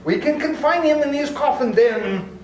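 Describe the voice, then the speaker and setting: A man playing a grave old professor, high and lively. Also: moderate pace, a little hiss or hum. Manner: grave, urgent, commanding. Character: earnest old vampire-hunting professor. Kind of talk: live amateur radio play. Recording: roomy hall with music behind.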